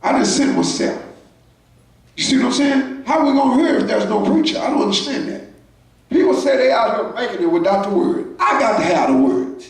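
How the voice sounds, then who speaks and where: High-voiced shouting preacher in an echoey church. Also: male voice, animated, fairly quick, recorded from a distance. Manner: preaching in shouted bursts with call-outs to the congregation. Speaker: pastor. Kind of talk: sermon. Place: church sanctuary.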